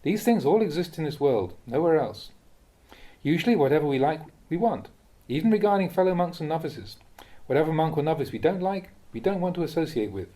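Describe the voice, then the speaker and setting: Guy reading a buddhist talk, mellow relaxed voice, lots of up and down in pitch, thoughtful and measured. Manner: measured, contemplative, with rhetorical questions. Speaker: Buddhist monk reading recorded teachings. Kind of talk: read-aloud dharma talk.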